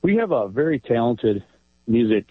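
A man's voice: mid-range voice, big pitch swings, husky, no echo. Man calling in over a tinny phone line. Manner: hesitant, halting. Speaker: caller. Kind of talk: phone call to a radio show.

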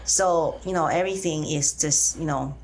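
A middle-aged woman from Taiwan, speaking as a guest in an interview, with frequent uh and um pauses. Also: sits low, low-quality recording. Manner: hesitant, many uh and um pauses. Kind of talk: faith-sharing interview.

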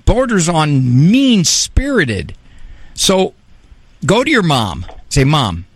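Radio advice host guy, blunt and emphatic, very animated pitch, dry close sound. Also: slow, breathy edge, no echo.

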